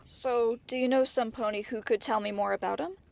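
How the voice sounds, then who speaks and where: A woman voicing an eager, curious young pony princess in an amateur cartoon audio drama, excitable and full of questions. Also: a little high, brisk, creaky. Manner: excitable, inquisitive.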